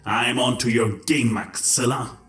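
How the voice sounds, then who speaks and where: Man acting a smug, slow-talking changeling accuser. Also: mid-range voice, fairly even intonation, fairly bright tone, echoey room, faint music behind, lightly acted. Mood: accusing. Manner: smug, deliberate, gloating. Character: smug, accusing male changeling, gloating and theatrical. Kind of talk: dramatised fan fiction reading.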